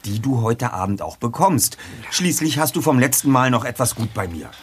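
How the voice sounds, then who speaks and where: An actor playing a stubborn, eloquent young detective in a German audio drama, speaking in precise, formal, self-assured phrases. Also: male voice, mid-range voice, lively intonation, moderate pace, slight background noise, natural voice. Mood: persuasive.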